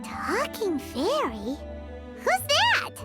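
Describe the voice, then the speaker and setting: A tiny game fairy with an extremely high, squeaky child's voice. She is bubbly and inquisitive, bouncing around in pitch as she chatters, with loud music playing behind her. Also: huge pitch swings, very slow, full character voice. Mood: curious. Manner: bubbly, refers to herself by name. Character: small chirpy talking fairy companion, childlike, curious, excitable. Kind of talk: video game voice acting. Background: children's-style game music.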